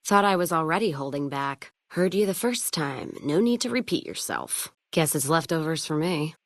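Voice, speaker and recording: A woman's voice: low-pitched, no echo, studio quality. An actress playing a tough, hard-drinking frontier caravan woman in a video game, with an American accent and a folksy, sardonic, foul-mouthed way of talking. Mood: wry, laid-back. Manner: folksy, sardonic, drawling slang. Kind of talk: video game character voice lines.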